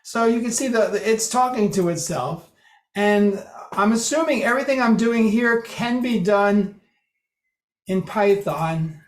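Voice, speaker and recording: A presenter walks a group through a code demo, talking loosely and correcting themselves as they go. The voice sits at a middling pitch. A roomy echo from the meeting room hangs on every word. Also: unhurried. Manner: explanatory, loose, with restarts and self-corrections.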